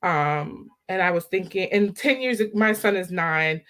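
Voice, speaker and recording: A woman's voice: lowish voice, lively intonation, a little slow, a bit bright. A woman in her thirties from the Baltimore area, a guest talking animatedly and laughing as she tells her story over a laptop video call. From Baltimore area, Maryland. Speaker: guest, maternal health director. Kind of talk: casual interview chat.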